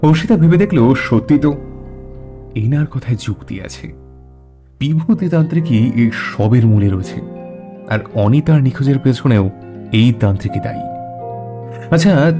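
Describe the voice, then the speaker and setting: Guy narrating a scary audio story, warm dark voice, dramatic delivery, quiet music behind him. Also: medium pitch, lively intonation, normal speed, pauses often.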